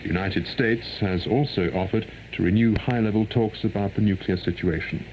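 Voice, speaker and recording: A man reads a formal, measured world news bulletin, heard over shortwave radio. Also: on the low side, fairly even intonation, a little mellow. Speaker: radio newsreader. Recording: noisy 1990s shortwave radio reception.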